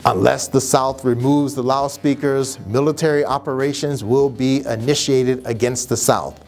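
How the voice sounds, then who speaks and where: A man's voice: medium pitch, fairly even intonation, normal speed, a little mellow, soft background music. A man hosting a podcast, delivering scripted opinion commentary in an emphatic way, with repetition and rhetorical questions. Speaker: podcast host.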